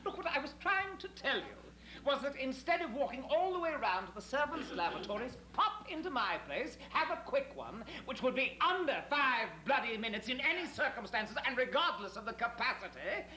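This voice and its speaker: A haughty, sarcastic hotel owner in a stage comedy. His voice is high and bright, swinging animatedly with theatrical impatience. It is heard on an echoey old tape. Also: sing-song, fairly quick, heavily acted. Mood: exasperated. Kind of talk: live stage comedy acting. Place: theatre stage before an audience. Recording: echoey old camcorder tape, faint noise.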